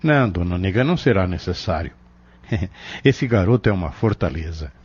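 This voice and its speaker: A Brazilian man playing a calm, reserved pharmacist in an audio drama, polite and measured with gentle authority. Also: deep voice, husky. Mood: reassuring. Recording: dull lo-fi audio.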